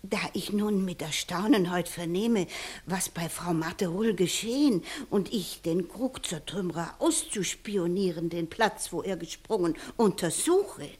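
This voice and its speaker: A woman playing a superstitious village eyewitness in a classical radio comedy, telling her tale with drawn-out emphasis and hushed passages. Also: mid-range voice, slightly rough. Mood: suspenseful. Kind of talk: 1960s German classical comedy radio play acting.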